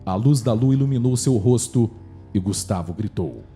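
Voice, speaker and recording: A man's voice: fairly low pitch, lively intonation, normal speed, warm tone. A man with a Brazilian accent narrating a scripted horror story for radio drama. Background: faint music.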